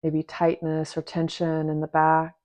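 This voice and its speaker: A woman teaching a guided meditation in a gentle, unhurried manner, leaving long pauses between short phrases. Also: low voice, completely flat delivery. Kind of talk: guided meditation, soft instruction.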